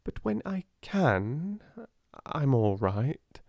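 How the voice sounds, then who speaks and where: A man's voice: mid-range voice, big pitch swings, very slow, gravelly, a little mellow, dry recording, pitched above the performer's own voice, full character voice. A man voicing a brave, imaginative little girl with a British accent, earnest and kindly in a storybook reading. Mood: stout, wistful.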